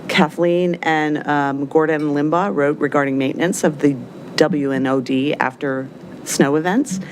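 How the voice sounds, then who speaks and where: Low-voiced businesslike woman clerk. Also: fairly even intonation, measured pace, a little hiss or hum. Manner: businesslike, reading names with fillers. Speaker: city clerk. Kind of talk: formal meeting procedure. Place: council chamber.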